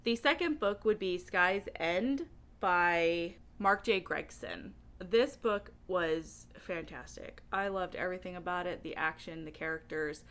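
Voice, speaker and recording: A woman talking casually to camera as a book vlogger, with an animated, emphatic way of speaking. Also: on the low side, measured pace.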